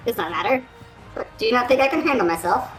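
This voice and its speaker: A woman's voice: a little high, animated, fast talker, reverberant, over loud music, voice pushed up, close to an everyday voice, low-quality recording. A woman playing a fierce, proud halfling adventurer with a defiant, grandiose manner in a tabletop fantasy game.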